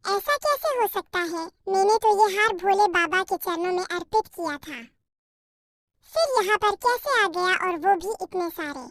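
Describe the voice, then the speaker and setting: A woman's voice: very high-pitched, expressive, unhurried, fairly bright tone, full character voice. A woman voicing a timid, devout young daughter-in-law bird in a cartoon, meek, pleading and earnest. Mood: nervous, apologetic. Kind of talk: Hindi moral-story cartoon dialogue.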